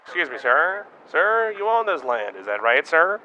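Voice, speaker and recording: A man doing an excitable radio-play field reporter with a fairly high voice, firing off questions over a thin, tinny line. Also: moderate pace. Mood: excited. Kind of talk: amateur radio drama acting.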